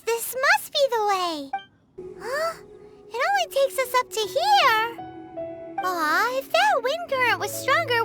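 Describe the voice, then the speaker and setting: A woman voicing a small, excitable, childlike female fairy companion in a video game, squeaky, naive and quick to take offence. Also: heavily acted. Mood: eager. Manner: refers to self in third person, exclamatory. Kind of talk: video game cutscene voice acting.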